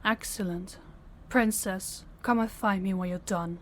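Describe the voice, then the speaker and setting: A woman's voice: medium pitch, steady tone, a little hiss or hum, close to an everyday voice. A woman playing a young princess, stern, principled and formal, in an audio roleplay. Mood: stern, commanding. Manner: formal, commanding, measured diction. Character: young princess, stern, authoritative, compassionate, defiant.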